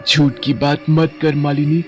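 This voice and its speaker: Man acting as vengeful female witch, high voice, threatening, possessive, emphatic, cartoon storytelling, faint music. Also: little pitch movement, a little slow, lightly acted. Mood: furious, threatening. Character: vengeful young woman turned witch, menacing and possessive.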